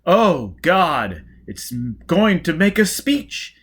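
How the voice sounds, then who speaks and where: A man voicing excitable, incredulous circus spectators exclaiming in an audiobook, with noise behind. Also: a little high, slow pace, slightly rough, voice pushed up, heavily acted. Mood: shocked disbelief. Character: excitable, astonished circus spectators gawking and bickering. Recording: noticeable background noise.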